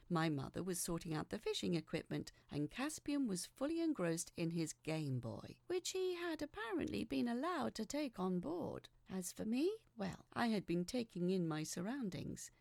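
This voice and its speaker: Woman storyteller, heavy vocal fry, very dry close sound, measured scripted narration, hushed phrase endings. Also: mid-range voice, no room sound. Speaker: storyteller reading a letter aloud.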